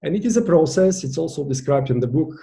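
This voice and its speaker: A man with a Bulgarian accent, a native Bulgarian speaker, presenting an online masterclass as a team coach in an earnest, deliberate way, leaning on key words. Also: a little high, animated, gravelly, mellow.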